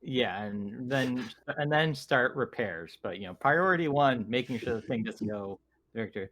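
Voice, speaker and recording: A man playing a polite, analytical, literal-minded android in a tabletop game, speaking in a formal, measured, clinical manner. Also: mid-range voice, lively intonation, a bit bright, poor audio. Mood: methodical. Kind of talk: in-character tabletop roleplaying.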